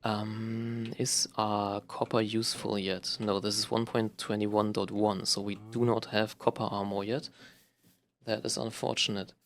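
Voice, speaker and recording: German-accented young guy, low and monotone, halting casual gaming chat. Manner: rambling, halting, with many fillers and restarts. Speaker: gaming vlogger. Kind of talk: casual unscripted talk while playing a game.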